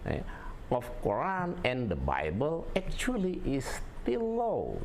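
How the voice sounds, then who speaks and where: An older man giving a formal, measured rebuttal in a studio, speaking into a lapel mic. His voice is played back over a speaker. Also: medium pitch, wildly swooping pitch, slight background noise. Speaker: guest speaker in a played video. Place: studio with a logo backdrop.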